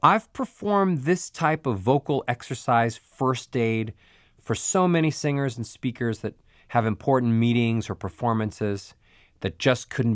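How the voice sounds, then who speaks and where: A man's voice: medium pitch, no echo. Man coaching voice, dry close sound. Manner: clear, coaching, demonstrates sounds. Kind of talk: instructional audiobook narration.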